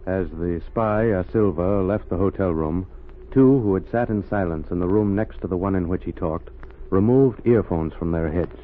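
A man narrating a 1930s radio drama in a measured, dramatic way. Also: deep voice. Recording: tinny old radio recording with music.

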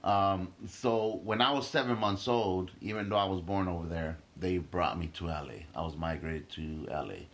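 A man's voice: deep voice, moderate pace, few pauses, fairly bright tone. A young man from Guatemala, a stand-up comedian talking emphatically as an interview guest, swearing freely and restarting his sentences. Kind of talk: casual podcast interview.

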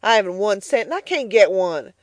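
A woman reading the part of a bitter, stingy farm mother who scolds loudly and sharply. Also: mid-range voice, lively intonation, fairly quick, no echo, natural voice. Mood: furious. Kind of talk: audiobook character voice.

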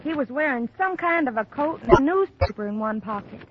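High-voiced troubled country hired girl. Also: animated, brisk. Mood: shaken, reluctant. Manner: plain uneducated country speech. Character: troubled, defensive young ranch hired girl, about twenty. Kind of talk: 1950s radio drama acting. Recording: muffled old radio recording, faint noise.

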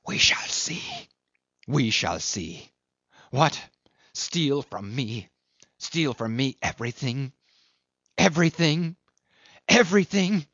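A man's voice: on the low side, very animated, a little slow, no room sound, muffled recording. A man voicing a cringing, bitter old male schemer who whines coaxingly and breaks into cracked squeals.

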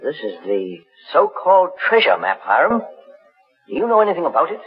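An actor playing a calm, shrewd male investigator in a 1940s radio drama, measured and authoritative. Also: fairly high pitch. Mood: intent. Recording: dull old 1940s radio recording.

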